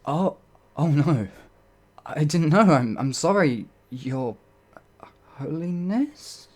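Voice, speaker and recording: A man's voice: a little high, expressive, slow. A man playing a timid, apologetic werewolf farmhand in an audio roleplay, hesitant and rambling, stammering as he goes. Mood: panicked, apologetic. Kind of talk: ASMR audio roleplay monologue.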